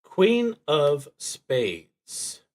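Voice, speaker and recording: A man streaming a solo roleplaying game, reading prompts aloud and thinking out loud in a deliberate, halting way that trails off into muttering. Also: on the high side, wildly swooping pitch.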